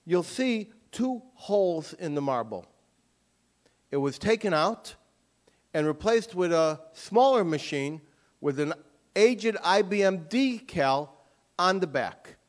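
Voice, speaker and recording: Forceful male author lecturing. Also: high voice, animated, takes it slowly. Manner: emphatic, forceful. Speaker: author giving a talk. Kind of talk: lecture with audience questions.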